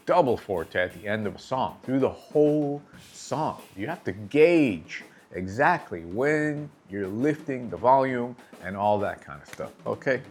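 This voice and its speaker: A male drum instructor talks through his ideas in an easygoing, conversational manner. He poses rhetorical questions and checks in with his listeners. His voice sits at a middle pitch, with faint music in the background. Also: a little mellow. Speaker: drum teacher. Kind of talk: instructional talk to camera.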